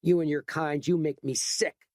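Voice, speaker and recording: A man's voice: on the high side, fairly even intonation, normal speed, no room sound, clean studio sound, pitched above the performer's own voice, natural voice. A man voicing an angry middle-aged store owner who talks in a tight, agitated voice. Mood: tight, indignant. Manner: tight, agitated, rising to shouting.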